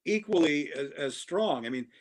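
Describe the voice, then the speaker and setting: A man who is an actor, chatting casually with quick asides. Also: a little high, animated. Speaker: actor guest. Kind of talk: casual conversation over a call.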